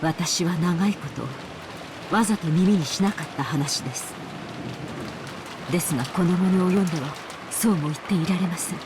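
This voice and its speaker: A woman's voice: fairly low pitch, quick pace, pauses often, noisy background, close to an everyday voice. A woman voicing a stern, dignified middle-aged lady of the house in a game drama, formal and commanding. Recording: heavy rain ambience behind the voice.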